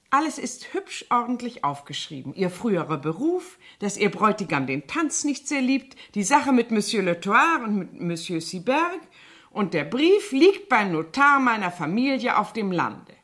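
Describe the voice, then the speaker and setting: An actress playing a falsely naive cleaning woman in a radio drama, ingratiating and slyly blackmailing with quiet menace. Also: medium pitch. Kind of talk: 1970s German radio drama acting.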